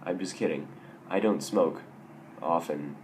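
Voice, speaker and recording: A young man with a raspy, hoarse voice from a sore throat, telling stories in a casual, self-mocking vlog. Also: low voice, unhurried, a little mellow, a little hiss or hum. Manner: self-mocking, wry, rambling with restarts. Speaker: vlogger.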